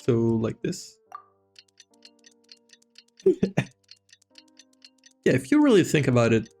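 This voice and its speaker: Mid-low male streamer, casually rambling. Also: huge pitch swings, slow, darkish tone, faint music behind. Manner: rambling, amused, blunt. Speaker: game streamer. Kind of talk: casual playthrough commentary.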